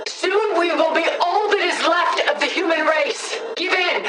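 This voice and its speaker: High-voiced imperious villainess, echoing. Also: female voice, unhurried, loud music behind the voice. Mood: grim, imperious. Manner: imperious, dramatic, emphatic delivery with formal phrasing. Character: commanding, possessive female villain piloting a war machine, pleading and imperious. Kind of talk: video game combat voice lines. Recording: distant, echoing voice with background noise.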